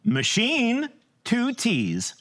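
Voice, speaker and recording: An actor playing a pompous, excitable male starship captain in a live sci-fi comedy, speaking in a grandiose, theatrical manner. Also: mid-range voice, very slow, exaggerated voice. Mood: urgent, commanding. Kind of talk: live staged science-fiction audio comedy.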